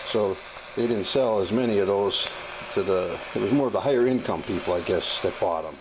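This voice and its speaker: An American man with a fairly low voice shows off a gadget in a casual, unscripted way. He is folksy and plain-spoken, often halting as he finds his words. Clear noise runs through the amateur recording. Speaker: hobbyist demonstrating a vintage gadget.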